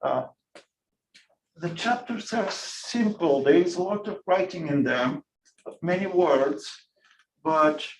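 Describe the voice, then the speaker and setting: A man from Bulgaria teaching a physics class, talking in a halting way with many fillers and restarts. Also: sits high, a little hiss or hum. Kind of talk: classroom lecture. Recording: echoey classroom, distant mic.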